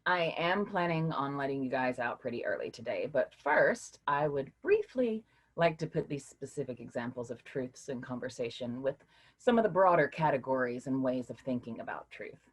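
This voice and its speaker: Brief: actress as a husky, low-voiced woman professor, patient and questioning, lively delivery. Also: moderate pace, full character voice. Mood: teacherly. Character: woman college philosophy professor, encouraging and patient. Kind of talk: staged play reading.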